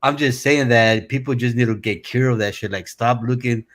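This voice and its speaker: A man's voice: mid-range voice, normal speed. A man from Mexico whose first language is Spanish, calling into a live stream and talking casually and bluntly. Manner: relaxed, rambling, blunt with profanity. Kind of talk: casual opinionated chat.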